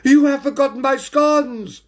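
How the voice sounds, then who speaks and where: A man's voice: very high voice, sing-song, a little slow, pitched above the performer's own voice, heavily acted. A man voicing haughty, imperious posh lady cats in a storybook, bellowing their lines. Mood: demanding. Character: haughty, shrill posh lady cat customers.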